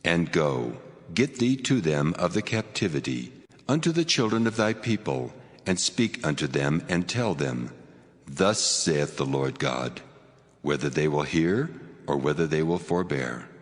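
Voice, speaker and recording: An actor playing God as a solemn, commanding figure who proclaims gravely and deliberately in a dramatized scripture drama. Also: male voice, extremely low pitch, big pitch swings, full character voice. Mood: stern. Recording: long cavernous reverb, music underneath.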